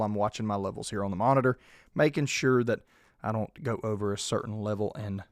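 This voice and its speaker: A man, hoarse and losing his voice, hosting a casual sports podcast and keeping his volume down. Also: fairly low pitch, no echo, studio quality. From South Georgia, US. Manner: restrained, holding his volume down. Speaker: podcast host.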